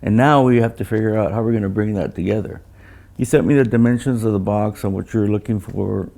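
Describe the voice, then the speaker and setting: An older man speaks in a low, gravelly voice with a mellow tone. He talks like a seasoned engineer in casual conversation, halting and doubling back on words as he tells his anecdotes. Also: steady tone. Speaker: loudspeaker designer.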